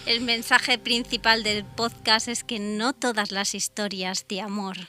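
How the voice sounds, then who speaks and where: Female co-host, Spain accent. Medium pitch, clear forward brightness, conversational, soft music behind. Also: flat delivery, measured pace. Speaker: radio show co-host. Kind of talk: casual radio discussion.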